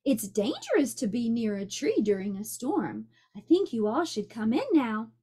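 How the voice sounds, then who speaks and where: A woman voicing a calm, caring mother fox who speaks gently and reassuringly in a storybook. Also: on the high side, normal speed, breathy edge. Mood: firm.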